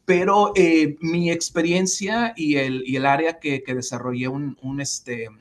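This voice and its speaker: A man from Mexico in his forties, giving long, measured interview answers full of fillers and restarts. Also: fairly high pitch, steady tone. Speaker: interview guest.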